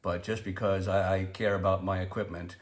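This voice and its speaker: A man's voice: sits low, flat delivery, fairly quick. A man giving a casual, conversational product review and demonstration, feeling his way through sentences and correcting himself.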